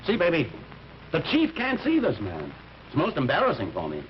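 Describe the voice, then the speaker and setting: A man's voice: a little high, huge pitch swings, normal speed. An actor playing a confident, breezily smug tough man in an old-time broadcast drama. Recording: muffled vintage broadcast, faint noise.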